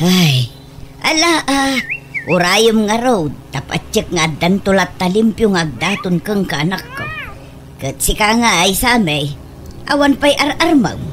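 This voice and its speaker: A woman's voice: mid-range voice, very animated, measured pace, background noise. A woman playing a chatty, fussing mother in a comedic Ilocano radio drama. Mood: fussing, nagging.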